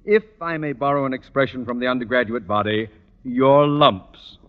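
An actor playing a pompous, blustering middle-aged school principal in old radio comedy style, sarcastic and theatrical. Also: male voice, medium pitch, moderate pace, natural voice. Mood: smug. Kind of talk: 1940s-50s radio comedy acting. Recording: muffled old-time radio recording.

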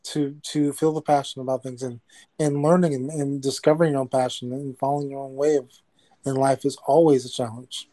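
Encouraging host with a mellow voice. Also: male voice, medium pitch, little pitch movement, a little fast. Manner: encouraging, affirming. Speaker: podcast host. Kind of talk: casual supportive chat.

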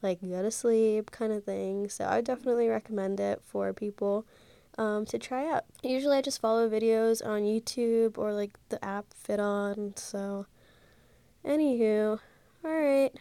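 A woman's voice: mid-range voice, measured pace, gravelly, dark tone, clean tone, dry recording. A young woman in her twenties with a stuffy, congested nose, talking casually and rambling on her solo podcast into a handheld mic, full of "like" and "um". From Ohio, USA. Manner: chatty, rambling, many fillers like "like" and "um". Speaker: podcast host.

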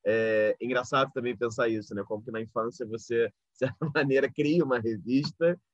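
A man's voice: mid-range voice, a little fast. A man with a Brazilian accent interviewing in a polite, discursive, conversational way, circling around his questions. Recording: roomy, dull lo-fi audio.

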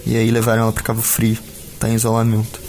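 A man's voice: on the low side, completely flat delivery, quick pace, a little mellow, natural voice. A man playing a worried young Brazilian film student, a devoted son, talking casually in a naturalistic audio drama. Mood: afraid. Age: young adult. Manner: casual, colloquial, short phrases.

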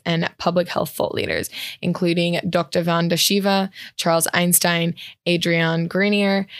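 A woman's voice: lowish voice, no room sound, clean studio sound. A woman hosting a podcast, introducing her show and guest with upbeat enthusiasm. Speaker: podcast host.

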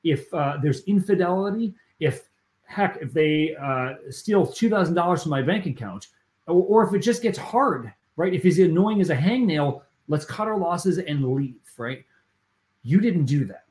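A man's voice: fairly high pitch, lively intonation, normal speed, darkish tone, roomy sound. A man hosting a faith podcast interview, talking earnestly and emphatically and often checking in with "right?". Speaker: podcast host.

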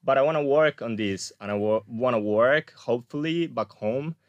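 A man's voice: mid-range voice, moderate pace, dry recording, highs cut off. A young man from Colombia, a graduate student guest, talking casually in an interview with many fillers and restarts. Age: young adult.

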